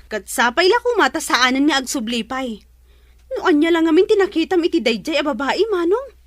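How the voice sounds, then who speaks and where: A woman's voice: sits high, big pitch swings, fairly quick, no echo, clean studio sound. A woman playing a spiteful, whiny younger sister in an Ilocano radio drama. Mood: spiteful, complaining.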